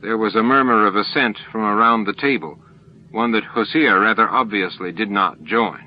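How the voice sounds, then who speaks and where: A man's voice: fairly low pitch, normal speed, slight background noise. Man narrating an audiobook, dull lo-fi sound. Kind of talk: scripted dramatic narration of a science fiction novel.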